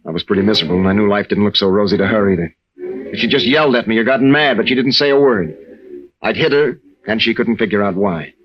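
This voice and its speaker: An actor playing a troubled young American navy veteran and husband, speaking earnestly and haltingly in an old radio drama. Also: male voice, on the low side, expressive, lightly acted. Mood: subdued, regretful. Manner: halting, earnest, with stammered restarts. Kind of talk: 1940s-50s radio drama acting. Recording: dull old-time radio recording.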